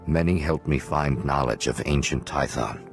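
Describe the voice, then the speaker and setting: An actor playing a solemn, penitent hermit watchman in a video game, speaking in a measured, formal, philosophical way. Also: rumbling low, faint music behind. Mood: calm, grave. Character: solemn male hermit Jedi watchman, calm, penitent, cryptic.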